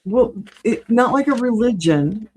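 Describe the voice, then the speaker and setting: A woman's voice: a little high, animated, measured pace, darkish tone, breathy edge. A woman giving a conversational spiritual reading as a guest, explaining things in a matter-of-fact way. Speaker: spiritual reader, interview guest.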